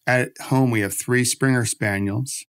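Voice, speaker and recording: A man hosts a podcast interview from his home office. He talks at an ordinary middle pitch, relaxed and chatty, and keeps the conversation loose with casual fillers as he draws his guest out. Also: a little slow, smooth. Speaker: podcast host.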